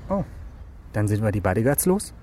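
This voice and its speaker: An actor playing an easygoing, impatient young ex-cop in a radio drama, talking casually with dry humour. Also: male voice, lowish voice, very animated, fairly quick, mellow, a little hiss or hum. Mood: relaxed, friendly. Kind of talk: naturalistic radio drama dialogue.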